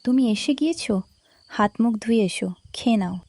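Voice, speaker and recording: A woman playing a caring, devout farmer's wife in a cartoon fairy tale, soft and earnest. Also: a little high, animated, fairly quick, no echo. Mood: caring, hopeful.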